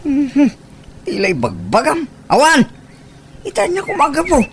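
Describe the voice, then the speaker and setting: A man's voice: very high-pitched, huge pitch swings, unhurried, exaggerated voice. A man with an Ilocano accent playing an exasperated husband who defends himself, protesting emphatically in a comedic radio drama. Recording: faint background noise.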